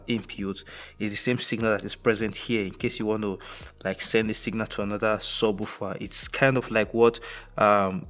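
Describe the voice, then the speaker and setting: A young man presenting an instructional tutorial on audio gear in a measured, methodical way. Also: on the low side, fairly bright tone. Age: young adult. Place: indoors, dark studio room.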